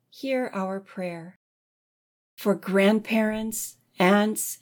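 A woman leading a congregation in prayer with gentle, deliberate phrasing. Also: mid-range voice. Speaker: music director leading prayer. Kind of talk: led liturgical prayer.

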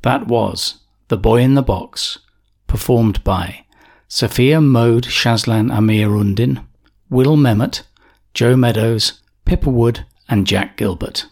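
Male announcer with a slightly husky voice. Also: medium pitch, slow, darkish tone. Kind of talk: scripted introduction and credits.

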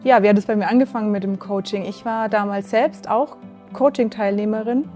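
Woman in her thirties, relaxed coach. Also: mid-range voice, fairly quick, faint music behind. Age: 30s. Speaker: nutrition coach. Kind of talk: recruiting video interview.

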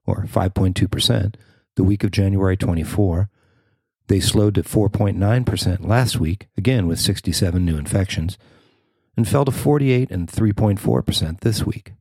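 Low-voiced American man reading news, dry sound. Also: a little mellow, breathy edge, no echo. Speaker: podcast host and reporter. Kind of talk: scripted news narration.

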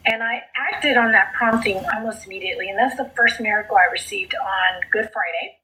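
A woman's voice: medium pitch, bright, forceful tone, low-quality recording. A middle-aged woman from South Texas who grew up speaking Spanish, telling her story in an earnest, heartfelt way as a podcast guest. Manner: earnest, heartfelt storytelling with slow emphatic phrases. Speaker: guest, author and coach. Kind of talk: podcast interview.

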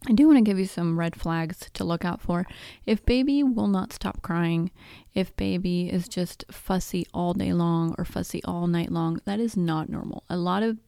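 A woman's voice: lowish voice, moderate pace, gravelly, warm tone, no echo, clean studio sound. A woman in her thirties whose first language is Spanish, hosting a podcast and giving chatty, self-deprecating advice on baby sleep. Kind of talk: casual advice talk.

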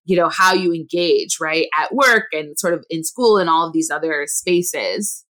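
A woman's voice: sits low, normal speed, a bit bright. A woman hosting an interview, affirming and reflective, with many "you know"s. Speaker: host.

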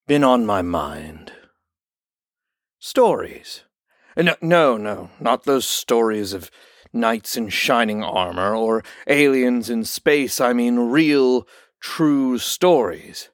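A man's voice: mid-range voice, expressive, slow pace, no echo, clean studio sound. A man playing a suave, sinister doctor in a horror audio drama, with a theatrical, mock-polite and unctuous manner. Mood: playfully musing. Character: suave, sinister male doctor of a grim facility. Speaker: doctor at a psychiatric facility.

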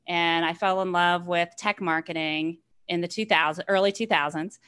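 A woman in her forties, a marketing executive on a podcast, talking in an energetic, excitable way that gets loud when she is excited. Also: fairly low pitch, moderate pace, clear, resonant tone. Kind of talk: casual interview chat.

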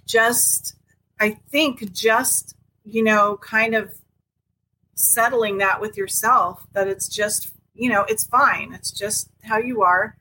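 A woman co-hosting a podcast in casual chat, talking hesitantly with many pauses and ums. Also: medium pitch, steady tone, slight background noise, poor audio. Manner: hesitant, many ums, soft-spoken openness. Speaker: podcast co-host.

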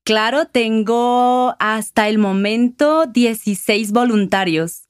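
Una mujer guatemalteca con acento guatemalteco, invitada en un podcast, que responde de forma pausada y con algunas vacilaciones. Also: female voice, fairly high pitch, clear, resonant tone, no room sound, clean studio sound. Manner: measured, with hesitation fillers. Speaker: guest, sales manager. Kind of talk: interview answers.

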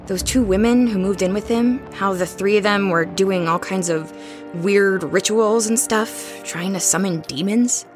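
A woman's voice: mid-range voice, unhurried, over music, lightly acted. An actress playing a young woman in an audio drama, earnest and uneasy as she speaks. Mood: uneasy curiosity. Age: young adult.

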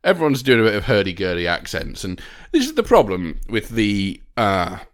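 A man's voice: fairly low pitch, sing-song, normal speed. A man co-hosting a podcast in casual chat, playful and teasing, at one point singing a silly jingle. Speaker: podcast co-host.

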